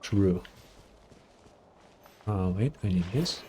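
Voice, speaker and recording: A man giving casual, skeptical let's play commentary as a game streamer, with a deadpan delivery and casual swearing. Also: sits low, very slow, slight background noise.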